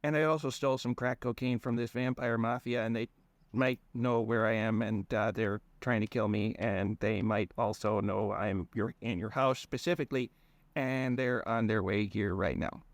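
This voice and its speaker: A man's voice: fairly low pitch, fairly even intonation, normal speed, no room sound, natural voice. A man voicing a shifty, rambling male werewolf cousin with a put-on folksy accent. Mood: nervous. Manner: folksy rambling, keeps saying "don't you know".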